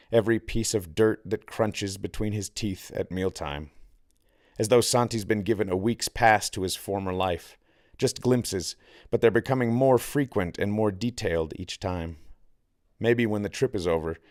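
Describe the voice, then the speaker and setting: A man narrates a novel in a low register. His delivery is measured and deliberate, with dramatic pauses. The sound is dry and close, like an audiobook. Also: darkish tone, dry recording. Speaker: author reading own novel.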